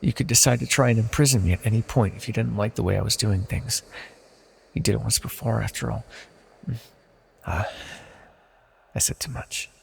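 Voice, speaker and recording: A man playing an ancient banished villain deity in a fantasy audio roleplay, proud yet wistful and tender, speaking in a formal, measured, confessional way. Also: fairly low pitch, vocal fry, husky, exaggerated voice. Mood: hesitant confession. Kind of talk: scripted fantasy audio roleplay, intimate monologue. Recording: long reverberant hall echo.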